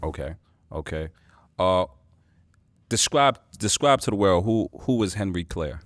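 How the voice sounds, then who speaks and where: A man's voice: deep voice, expressive, a little slow. A man hosting a casual interview in a boxing gym, hyping the intro and then asking questions in an easygoing way. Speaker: interviewer, show host.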